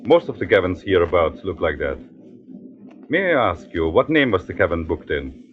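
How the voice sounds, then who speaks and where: An actor playing a courteous, reassuring ship's doctor in a 1940s radio drama, speaking formally and with measured precision. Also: male voice, low-pitched, big pitch swings, a little hiss or hum. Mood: grave, placating. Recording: dull vintage radio recording, busy background.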